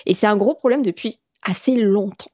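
A woman from France, speaking as a radio interview guest in an earnest, halting way with many restarts and fillers. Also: mid-range voice, mellow, no room sound, clean studio sound. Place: radio studio.

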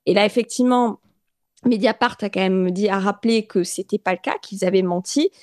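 A woman from France, a guest on a radio interview, talking earnestly and stumbling through frequent restarts and filler sounds. Her pitch sits at an ordinary middle height. The studio sound is close and dry, with almost no room echo. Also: a little fast, a little mellow.

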